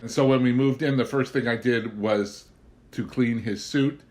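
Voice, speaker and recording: A man reading a humorous memoir aloud as an expressive storyteller, with wry, theatrical, deliberate phrasing. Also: mid-range voice, slight background noise. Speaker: audiobook reader.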